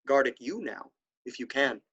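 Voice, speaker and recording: Guy acting an uptight snooty nobleman brother in an old play, medium pitch voice, all indignant and declaiming. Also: animated, moderate pace, fairly bright tone, breathy edge, highs cut off. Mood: resigned. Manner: haughty, declamatory. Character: stern, indignant, honor-obsessed nobleman brother of the heroine. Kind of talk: classical stage comedy acting.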